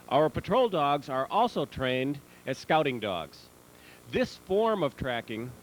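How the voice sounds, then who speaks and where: A man reading scripted promotional narration in a measured, instructional manner. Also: mid-range voice. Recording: noisy old VHS tape transfer.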